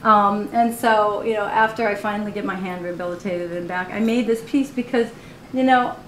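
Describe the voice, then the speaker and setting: Candid woman artist in a roomy gallery. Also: medium pitch. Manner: candid, laughs often, many "you know"s. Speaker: artist giving a gallery talk. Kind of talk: casual talk on her own artworks. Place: college art gallery. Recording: roomy live recording with faint noise.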